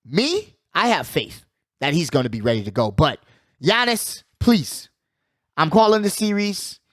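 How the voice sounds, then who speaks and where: High-pitched man hosting sports talk. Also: big pitch swings, measured pace, a bit bright, dry recording, studio quality. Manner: emphatic, repeats phrases for effect; slangy, conversational address to listeners. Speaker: sports show host. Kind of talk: casual, opinionated sports commentary.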